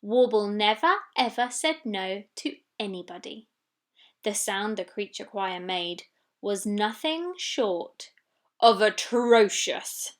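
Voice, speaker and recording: A woman's voice: medium pitch, animated, slow. A woman reading a children's storybook expressively, with dramatic delivery of sound words. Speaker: story reader.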